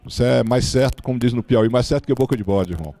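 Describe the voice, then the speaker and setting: A Brazilian man answering informally as a political candidate, in a halting way with restarted phrases. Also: medium pitch, warm tone. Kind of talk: informal answer at a public forum.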